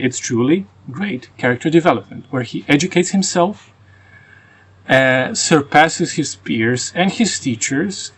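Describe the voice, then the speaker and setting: A man with a Croatian and Russian accent, reviewing a book casually and venting his frustrations, with fillers and restarts. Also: a little high. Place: rural home.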